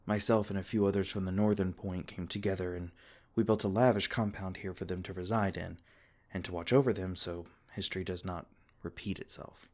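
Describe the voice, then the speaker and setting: Brief: a low-voiced, tender fae king played by a man, soothing and unhurried in his kindness. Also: steady tone. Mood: gentle. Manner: soft-spoken, reassuring, with small laughs. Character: gentle, protective male fae king. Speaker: ASMR roleplay performer. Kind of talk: ASMR comfort roleplay.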